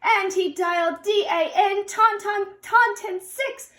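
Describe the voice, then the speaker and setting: A middle-aged woman reading a picture book aloud to young children in an expressive singsong way, recorded in a room at home. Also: very high voice. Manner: slow, deliberate, singsong storytelling addressed to children. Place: indoors upstairs at home. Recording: roomy home recording with echo.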